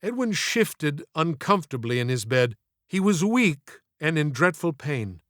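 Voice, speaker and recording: A man's voice: on the high side, sing-song, unhurried, fairly bright tone, dry recording, studio quality. A man reading scripted audiobook narration in an earnest, literary, theatrical manner.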